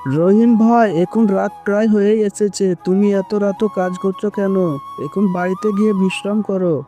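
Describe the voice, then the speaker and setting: A man voicing a self-satisfied young landlord's son in a cartoon, speaking in a measured, patronising way. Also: high-pitched, fairly even intonation, mellow, faint music behind, natural voice. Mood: friendly. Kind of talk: cartoon dialogue acting.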